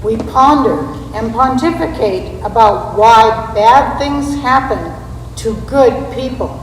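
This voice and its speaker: A woman giving a scripted dramatic recitation in a church readers theater, with a deliberate, measured delivery. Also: on the high side. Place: large reverberant church space. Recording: echoey hall, distant mic, background noise.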